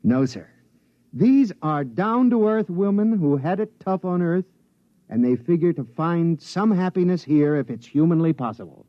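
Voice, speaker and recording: Genial, animated miners' leader. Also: male voice, on the high side, measured pace, no room sound. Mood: delighted, earnest. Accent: American. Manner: expansive, formal address. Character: genial, earnest veteran leader of the Mars miners. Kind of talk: 1950s American radio drama acting. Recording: muffled 1950s radio recording.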